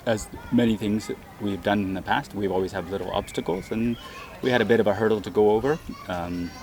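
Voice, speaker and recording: A man speaking as a theatre director in a casual interview, hesitant and often pausing mid-sentence. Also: fairly low pitch, a little mellow. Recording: crowd chatter behind the voice. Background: crowd of people.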